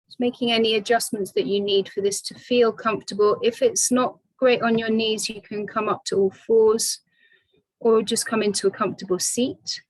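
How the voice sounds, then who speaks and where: A woman teaching restorative yoga, giving calm, soothing guidance with long pauses between phrases. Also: fairly high pitch, rough recording. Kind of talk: guided restorative yoga instruction.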